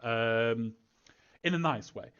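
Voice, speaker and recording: A man's voice: mid-range voice, slow pace, a bit bright, smooth, highs cut off. A man answering fan questions as a game creator in a casual live Q&A, rambling hesitantly and thinking aloud as he goes.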